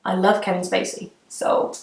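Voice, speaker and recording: A woman's voice: medium pitch, level tone, normal speed, gravelly. Chatty young Estonian woman vlogging. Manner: chatty, gushing, many fillers and trailing clauses. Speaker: beauty vlogger. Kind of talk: casual Q&A vlog. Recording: echoey room.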